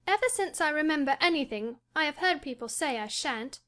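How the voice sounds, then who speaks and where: A woman's voice: high-pitched, lively intonation, brisk, a bit bright, voice pushed up. A woman voicing a sickly, spoiled ten-year-old boy who talks in a demanding, cross and indifferent way. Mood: imperious. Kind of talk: audiobook character voice.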